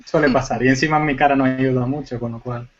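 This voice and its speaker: A man's voice: mid-range voice, quick pace. Chatty man, Canarian-Cuban accent, muffled call. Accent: Canarian Spanish mixed with Cuban. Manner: relaxed, chatty, joking asides. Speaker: guest panelist, forensic IT. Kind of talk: casual explaining on a video call panel. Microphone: video call.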